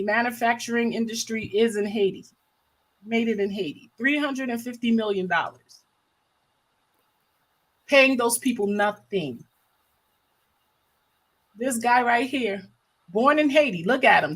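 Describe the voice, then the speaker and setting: A woman's voice: on the high side, fairly bright tone, breathy edge, poor audio. An American woman hosting a livestream with casual, impassioned commentary, stressing points by repeating her phrases. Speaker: livestream host.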